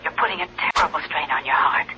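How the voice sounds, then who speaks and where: A woman's voice: low-pitched, fast talker, lightly acted. Actress as a soothing, motherly nurse, muffled recording. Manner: hushed, soothing, gently firm. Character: anxious, motherly former nurse, soothing and protective. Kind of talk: old-time radio drama acting. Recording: dull old radio telephone recording, hum.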